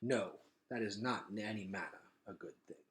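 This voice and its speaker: A man voicing a dignified old man of an underground kingdom in a children's novel, speaking in a formal, measured and courteous way. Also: on the low side, reverberant, pitched below the performer's own voice, lightly acted. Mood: grave. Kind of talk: children's novel read-aloud.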